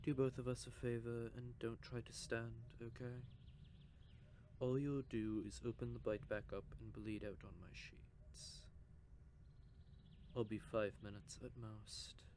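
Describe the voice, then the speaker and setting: A man voicing a cocky, possessive male vampire who teases with soft whispered asides, in an audio roleplay. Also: mid-range voice, little pitch movement, unhurried, slight background noise, close to an everyday voice. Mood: teasing. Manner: teasing, smug, with soft whispered stretches.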